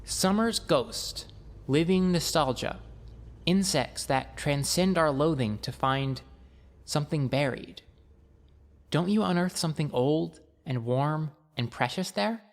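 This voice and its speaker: A man voicing a bright, self-conscious fourteen-year-old girl in an audiobook, speaking earnestly and deliberately with dramatic pauses. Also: a little high, expressive, big-room sound, voice pushed up. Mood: earnest, theatrical.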